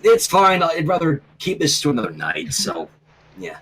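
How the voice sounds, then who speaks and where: A man's voice: on the high side, wildly swooping pitch, moderate pace, a crowd in the background. A man reading fan fiction aloud and chatting casually as a stream host. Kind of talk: casual chat and story reading.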